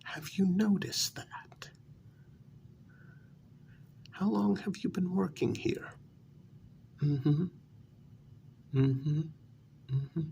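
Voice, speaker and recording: A man acting as a frustrated, delusional psychiatric patient in an ASMR role-play. His voice is soft and breathy, slipping into whispers, with a gravelly fry. He speaks slowly and haltingly, as if sure he made this world, over faint background noise. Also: fairly high pitch, heavily acted. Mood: insistent, incredulous. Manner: conversational, halting, pointedly questioning.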